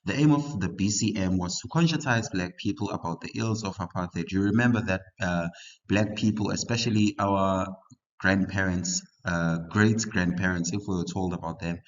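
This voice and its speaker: Deep-voiced young man tutoring, lo-fi. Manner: halting, many "uh" fillers and restarts. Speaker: history tutor. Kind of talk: casual study lesson for learners. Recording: muffled lo-fi recording.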